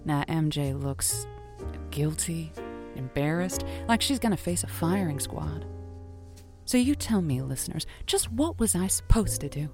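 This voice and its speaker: A late-night radio agony aunt, wry and theatrical, with a lowish voice over music. She spins her stories playfully for her listeners, as in an audio drama. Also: sing-song, unhurried, darkish tone, heavily acted. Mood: wry storytelling. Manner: playful, wry, storytelling delivery. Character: wry, theatrical woman hosting a late-night lonely-hearts radio show. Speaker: radio call-in host. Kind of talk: semi-improvised audio drama monologue.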